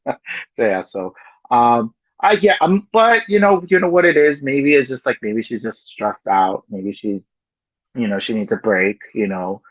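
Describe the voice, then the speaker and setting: A man's voice: mid-range voice, lively intonation, unhurried, no room sound, highs cut off. A man co-hosting a podcast, talking loosely and chattily, full of "you know" and "like". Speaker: podcast co-host. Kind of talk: casual chat.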